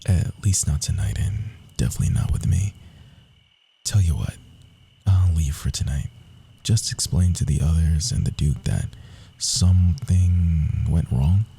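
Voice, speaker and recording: A man playing a proud, wounded young rogue assassin in an intimate ASMR roleplay, speaking in a hushed, confiding way with dramatic pauses. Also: sits low, exaggerated voice. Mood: soft, resolved. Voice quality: husky, breathy, often dropping to a whisper.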